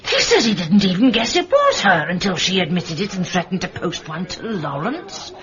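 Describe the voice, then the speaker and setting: A woman's voice: medium pitch, wildly swooping pitch, moderate pace. An actress playing a gossipy, theatrical, affectionate British woman, effusive and chatty, in a radio drama. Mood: indignant, gossipy. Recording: dull, lo-fi radio recording.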